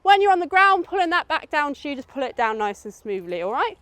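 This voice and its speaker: High-pitched British woman giving a safety briefing. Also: very animated, fast talker, fairly bright tone. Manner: informal and instructive, frequent checking tags. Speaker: outdoor adventure course safety instructor. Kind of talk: spoken safety briefing to a group.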